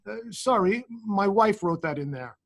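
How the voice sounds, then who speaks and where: An amateur reader acting a large, self-important royal chamberlain with a husky voice. He speaks deliberately and fussily, as a storybook courtier addressing his sovereign. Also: medium pitch, animated. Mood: sheepish. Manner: pompous, deliberate, enumerating. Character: pompous, fussy large male royal chamberlain.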